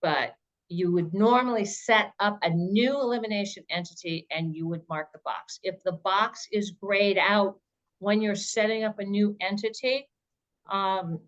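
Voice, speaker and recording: A woman's voice: mid-range voice, unhurried, lo-fi sound. A woman presenter answering questions in an informal webinar, explaining things step by step and thinking aloud.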